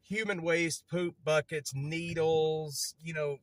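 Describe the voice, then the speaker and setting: A man's voice: on the high side, fairly even intonation, slow, no room sound. A man with a stutter, chatting casually on a livestream from a city street while moving around, rambling and repeating himself.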